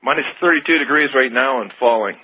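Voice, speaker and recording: A man's voice: medium pitch, quick pace, a little hiss or hum. A man from Canada phoning a radio show, chatting casually in a slightly hesitant way. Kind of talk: casual chat. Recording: tinny phone line on radio.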